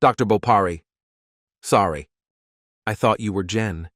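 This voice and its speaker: A man's voice: lowish voice, unhurried, fairly bright tone, no echo, studio quality. A man playing an anxious, weary survivor in an audio comic, sounding uneasy and subdued. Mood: shaken. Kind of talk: audio comic dramatic acting.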